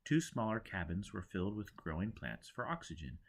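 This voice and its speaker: A man's voice: sits low, big pitch swings, brisk, creaky. A man narrating a scripted story dramatically as an audiobook reader.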